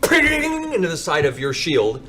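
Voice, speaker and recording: A man's voice: on the high side, huge pitch swings, measured pace, a crowd in the background. A man narrating a tabletop game as game master, improvising as he goes.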